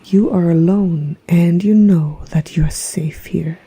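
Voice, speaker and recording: A woman narrating a guided meditation in a soft, breathy, half-whispered voice with a gentle, soothing, hypnotic manner. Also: lowish voice, measured pace. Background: relaxation music.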